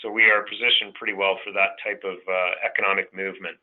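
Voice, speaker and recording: A man giving off-the-cuff financial commentary as a portfolio manager on a conference call, in a halting way. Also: low voice, flat delivery. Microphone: telephone conference line. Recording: thin phone-like conference line.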